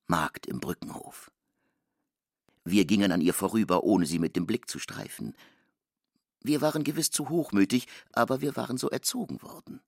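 An actor playing a narrator who recounts an outcast villager's rueful confession in a literary radio drama, with a gravelly voice. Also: male voice, low voice, no echo, clean studio sound, exaggerated voice.

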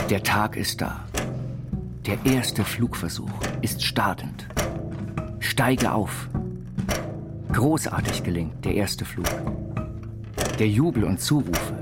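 A man reciting literary text in a measured, declamatory way for an audio biopic. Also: on the low side. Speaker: reader of an inventor's writings. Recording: studio voice under loud music. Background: loud instrumental music.

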